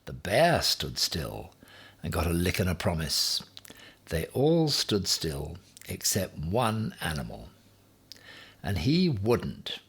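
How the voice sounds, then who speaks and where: A man reading a children's story aloud in a deliberate, expressive way with dramatic emphasis. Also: lowish voice, gravelly, slightly rough. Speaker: story reader.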